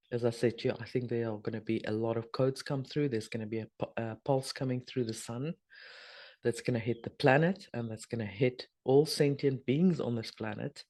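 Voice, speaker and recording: A middle-aged woman, hoarse and husky from a sore throat, chatting casually as host of a spiritual video update with rambling, trailing asides. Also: very deep voice, darkish tone. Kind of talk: casual spoken energy update.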